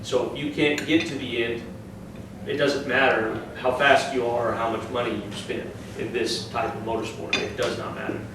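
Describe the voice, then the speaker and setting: A man, a race driver, giving a steady, matter-of-fact talk to a club audience. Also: medium pitch, normal speed. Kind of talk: presentation to a club. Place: meeting room. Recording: echoey room, distant mic, noisy.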